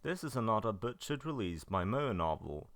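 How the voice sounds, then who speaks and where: Low-voiced man narrating reviews, pitch moving around. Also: very animated, normal speed, creaky, dry recording. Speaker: video narrator reviewing visual novels. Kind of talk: semi-scripted review narration.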